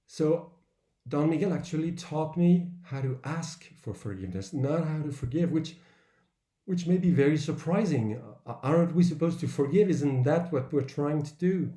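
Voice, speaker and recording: A man giving an earnest, measured public talk with personal anecdotes, in broken phrases with pauses. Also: fairly high pitch, mellow. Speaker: author and workshop speaker. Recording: roomy, echoing sound.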